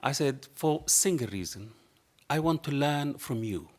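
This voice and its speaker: A man's voice: medium pitch, expressive, a little slow. Man with a strong Arabic accent. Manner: storytelling, acts out both sides of dialogue; passionate, non-native phrasing. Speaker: panel speaker. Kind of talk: anecdotal public talk. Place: dark lecture hall panel table. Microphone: table microphone.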